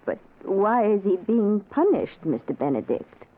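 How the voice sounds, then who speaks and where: A woman's voice: medium pitch, very animated, a little slow. An actress playing a polite, deferential married woman's spirit in a 1940s radio drama. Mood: puzzled, concerned. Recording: muffled, noisy old radio broadcast.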